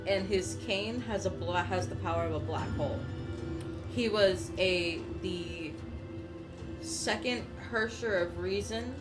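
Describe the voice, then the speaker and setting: A woman's voice: on the low side, slow pace. A woman on a live game stream, explaining things casually and carefully with some hedging. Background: loud game music.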